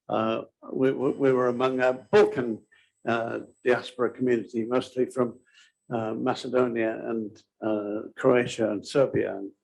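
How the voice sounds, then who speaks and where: Halting, gravelly man lecturing. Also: mid-range voice, darkish tone, poor audio. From Australia. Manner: hesitant, many ums and uhs. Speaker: conference speaker. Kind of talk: academic conference talk.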